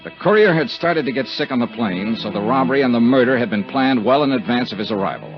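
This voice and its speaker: An actor playing a sardonic, flirtatious American investigator with clipped, wry speech in 1950s radio detective style. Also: male voice, medium pitch, animated, fast talker, lightly acted. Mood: brooding narration. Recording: dull old radio recording with music.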